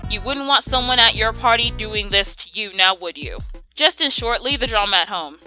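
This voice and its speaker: A woman's voice: a little high, expressive, normal speed, sharp tone, soft background music. A young woman delivering a casual scripted rant in an exasperated, sassy and opinionated way. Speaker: video creator.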